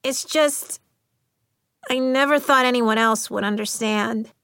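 A woman voicing a hurt but brave schoolgirl in an audiobook, measured and vulnerable as she recounts a memory. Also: on the high side, a bit bright, smooth. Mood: tearful, grateful.

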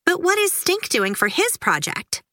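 A woman playing an excitable little girl in a storybook, her voice jumping high and squeaky with every outburst. The pranking kid swings her pitch all over the place, bright and sharp, recorded close and dry. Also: unhurried, no room sound, heavily acted. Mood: excited. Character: spirited young girl who loves pranks.

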